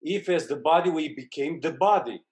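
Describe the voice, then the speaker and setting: A man from Romania with a Romanian accent, a non-native English speaker, reading a spiritual text aloud haltingly with pauses. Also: on the high side, a little mellow. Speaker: satsang participant.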